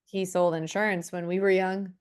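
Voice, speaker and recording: A woman's voice: on the low side, little pitch movement, normal speed, smooth, lightly acted. A woman playing a guarded, clinical middle-aged pediatrician in a script table read. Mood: skeptical, clinical.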